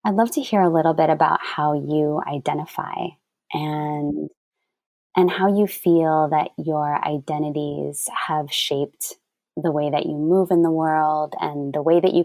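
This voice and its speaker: A woman's voice: low voice, vocal fry, warm tone, dry recording. A woman from Canada hosting a podcast interview, asking curious questions and laughing easily. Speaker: podcast host. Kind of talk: conversational interview.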